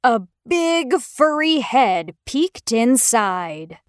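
A woman's voice: on the high side, big pitch swings, extremely slow, no room sound, studio quality. A woman narrating a scripted children's story expressively.

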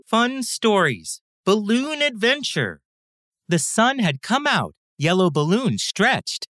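A woman reading a children's bedtime story as a scripted, animated narrator, acting out the sound words. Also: medium pitch, slow pace, dry recording, studio quality.

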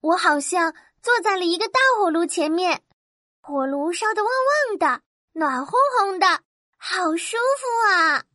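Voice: very high voice, lively intonation, a little slow, bright, forceful tone, no echo, clean studio sound, full character voice. 音调极高的可怜小女孩. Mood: delighted. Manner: pleading, exclaiming. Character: poor, cold, hungry little match-selling girl. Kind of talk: children's storybook dramatization.

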